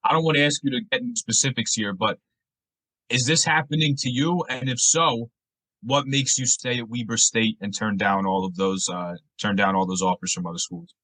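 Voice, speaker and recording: A man's voice: mid-range voice, normal speed, bright, forceful tone, no room sound, poor audio. A man hosting a sports podcast, asking conversational interview questions with long, detailed lead-ins.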